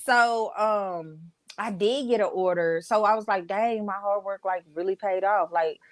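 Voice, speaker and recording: A woman's voice: mid-range voice, unhurried, no room sound. A woman from Dallas with a Texas accent, a business owner chatting candidly as a podcast guest. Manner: candid, chatty, storytelling with fillers. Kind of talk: casual podcast interview.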